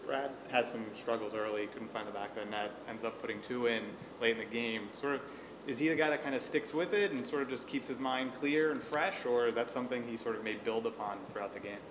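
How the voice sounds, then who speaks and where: A man's voice: medium pitch, fast talker, roomy sound, noisy background. A man reporter asking a rambling question at a press scrum.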